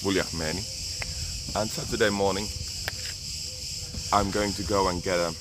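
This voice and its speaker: A hoarse man with a summer cold, hosting a live video and slowly telling a personal story with pauses and restarts. English is not his first language, and he is outdoors. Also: sits low, fairly even intonation. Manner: slow, rambling, pauses and restarts, laughs softly. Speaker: group host doing a live video. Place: outdoors, with noise around. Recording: loud outdoor noise with insects.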